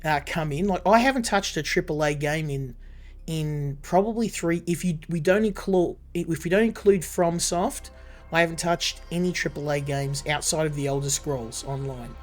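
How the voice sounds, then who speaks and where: A man streaming a game and chatting casually, rambling and correcting himself, his voice still a little rough from a cold. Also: fairly high pitch, normal speed, faint music behind. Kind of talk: casual live gameplay commentary.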